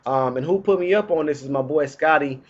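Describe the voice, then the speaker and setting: A man's voice: fairly high pitch, a little fast, dull audio. A man in his thirties recording a casual fragrance review vlog indoors while getting over a cold, chatty and slangy. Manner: laid-back, chatty, slangy. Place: indoors, small room.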